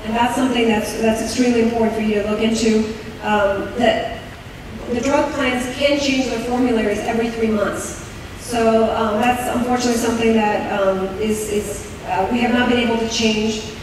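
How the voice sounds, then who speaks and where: A woman's voice: a little high, steady tone, moderate pace. A woman explaining benefit rules at a town hall meeting, speaking hesitantly with many ums and restarts. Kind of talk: informal public talk with many fillers. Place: large echoey meeting hall. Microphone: room mic heard from a distance. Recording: echoey hall recording from far off with background noise.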